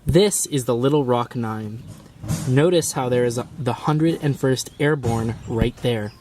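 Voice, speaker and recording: A man's voice: medium pitch, a little slow, darkish tone, a little hiss or hum. A young man presenting an amateur news report. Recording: distant mic, music behind. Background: music.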